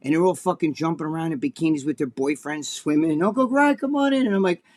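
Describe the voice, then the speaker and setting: Older New Jersey man, podcast co-host, foul-mouthed animated storyteller with a slightly higher voice. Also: quick pace. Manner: animated, profane, acts out quotes in a high voice. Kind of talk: casual storytelling chat.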